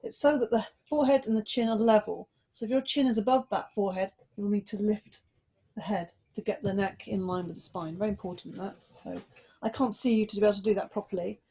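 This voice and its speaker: A woman teaches yoga relaxation in a mid-pitched voice. She speaks in slow, mindful phrases, leaving pauses and gently coaxing the listener through each instruction. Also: steady tone, husky. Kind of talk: guided relaxation and body check-in.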